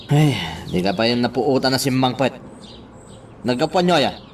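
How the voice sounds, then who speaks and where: A man acting a concerned, calm confidant in an Ilocano radio drama, questioning and coaxing. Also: mid-range voice, moderate pace, natural voice. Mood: curious. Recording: slightly distant mic, faint background noise.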